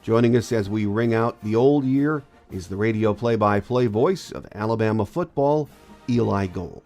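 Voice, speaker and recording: A man's voice: fairly low pitch, moderate pace, a little mellow, soft background music. A man hosting a podcast, giving scripted sports commentary while congested with a cold. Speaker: podcast host.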